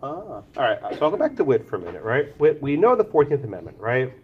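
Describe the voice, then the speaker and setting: A man's voice: medium pitch, wildly swooping pitch, fast talker, narrow, tinny audio, crowd noise. A man teaching a law class, calling on students by name and pressing them with questions, a little congested today. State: slightly congested, lower voice than usual. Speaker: law professor. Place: law school lecture room.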